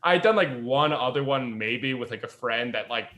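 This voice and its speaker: A man's voice: medium pitch, expressive, moderate pace, a bit bright. A young man co-hosting a podcast, chatting casually in a rambling way full of "like". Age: young adult. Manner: rambling, peppered with "like". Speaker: podcast co-host. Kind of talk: casual group chat.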